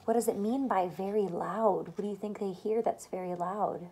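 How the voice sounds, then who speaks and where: A young adult woman, a teacher, reading a picture book aloud to small children with expressive delivery and simple chatty questions. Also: mid-range voice, normal speed, a little hiss or hum. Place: small furnished room at home, indoors.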